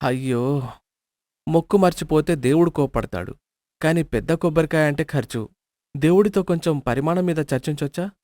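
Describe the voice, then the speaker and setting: A man's voice: fairly high pitch, moderate pace, long pauses, warm tone, dry recording, clean studio sound, lightly acted. A man voicing a stingy, penny-pinching middle-aged miser in a storybook, with a wheedling, wry way of talking. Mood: calculating. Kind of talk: storybook character voice.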